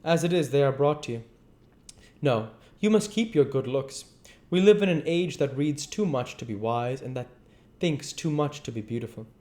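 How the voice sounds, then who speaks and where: A man voicing a witty, worldly, flattering lord in storybook dialogue, with an urbane, persuasive and emphatic delivery. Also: mid-range voice, moderate pace, voice pushed up, lightly acted. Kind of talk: storybook dialogue read aloud.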